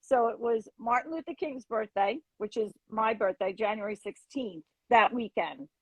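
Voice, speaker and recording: A middle-aged woman, a former schoolteacher, gushing excitedly as she tells stories in a casual livestream chat. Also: fairly high pitch, normal speed, breathy edge, dry recording, rough recording.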